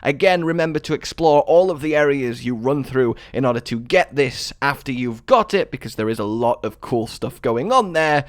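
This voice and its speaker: A man's voice: medium pitch, expressive, normal speed, few pauses, no echo, studio quality. A man giving an enthusiastic video game guide voice-over in a chatty, wordy, playfully dramatic way.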